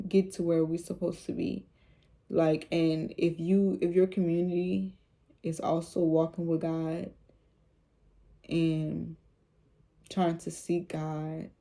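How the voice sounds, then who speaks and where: A woman talks about faith in a casual vlog. Her voice is low and soothing, with a gravelly edge and a very dark, mellow tone. She rambles, repeats herself and fills pauses with "um" and "like.". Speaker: vlogger.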